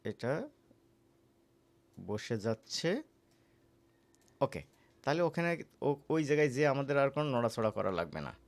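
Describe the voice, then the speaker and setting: A man with a Bangladeshi accent giving a casual, conversational software tutorial as an instructor, often checking in with the listener. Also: mid-range voice, big pitch swings, unhurried, pauses often. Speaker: animation course instructor.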